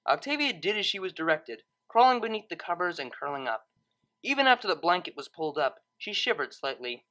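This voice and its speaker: Woman narrating a story, really lively intonation, bright clear-cut delivery. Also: fairly low pitch. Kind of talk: expressive scripted narration.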